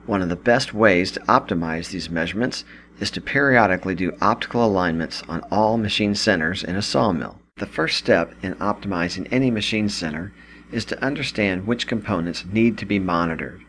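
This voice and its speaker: A man reading a scripted instructional product narration in a measured, explanatory manner. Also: deep voice, fairly bright tone, a little hiss or hum.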